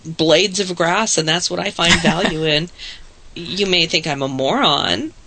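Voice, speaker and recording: Bright-voiced woman losing her voice. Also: fairly low pitch, moderate pace. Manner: laughs often, emphatic agreement. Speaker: podcast co-host and writer. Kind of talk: casual chat.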